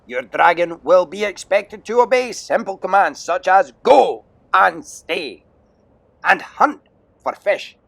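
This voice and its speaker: A man voicing a loud, overbearing Viking drill instructor, bellowing and pompous with heavy sarcasm, in an audiobook. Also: high-pitched, vocal fry, voice pushed up, full character voice.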